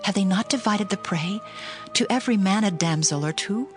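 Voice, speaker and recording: A woman performs a triumphant biblical heroine in a scripture drama. Her medium-pitched voice declaims with a mocking, rhetorical edge. Music plays prominently behind her. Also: moderate pace, lightly acted. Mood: taunting, triumphant.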